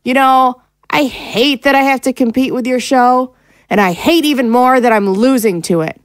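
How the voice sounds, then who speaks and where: A woman's voice: high voice, moderate pace, no echo, close to an everyday voice. A woman playing a hurt, fed-up wife and mother in an audio drama, pleading and accusatory as she confronts her husband. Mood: exasperated. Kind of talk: dramatic audio screenplay acting.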